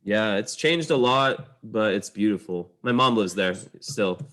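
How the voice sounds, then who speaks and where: A man's voice: fairly low pitch, expressive, measured pace, fairly bright tone. A young man co-hosting a podcast, who grew up in the Pacific Northwest, chatting in a friendly way with frequent restarts. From Pacific Northwest, United States. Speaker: podcast co-host. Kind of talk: casual podcast chat.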